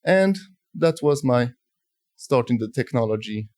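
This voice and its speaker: A man in his forties with a French accent speaks in a relaxed, mellow voice. He tells his story thoughtfully, pausing and restarting his sentences. The sound is dry and close, with no room echo. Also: medium pitch. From France. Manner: thoughtful, halting, many restarts. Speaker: guest, telecom executive. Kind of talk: conversational interview storytelling.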